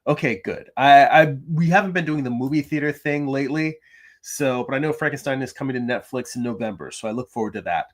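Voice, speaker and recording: A middle-aged man hosting a drawing livestream from his home, chatting casually with viewers and reading their comments, his voice gravelly from little sleep, with many restarts and fillers. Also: medium pitch, a little fast. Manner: chatty, self-deprecating, frequent restarts and ums. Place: home room.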